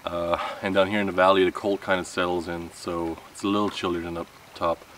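Male outdoor vlogger, thirties, deep voice, casual rambling delivery, recorded a few metres from the mic. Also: level tone, fairly quick, a bit bright. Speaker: camping vlogger. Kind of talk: casual vlog talk to camera. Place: outdoor wooded campsite. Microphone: camera a few metres away. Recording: distant mic with outdoor background noise.

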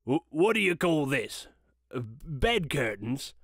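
A man's voice: on the high side, very animated, slow pace, fairly bright tone, no echo, clean studio sound, full character voice. A man voicing a grey-haired old rascal who keeps a junk shop, sly and hesitant, in a storybook reading.

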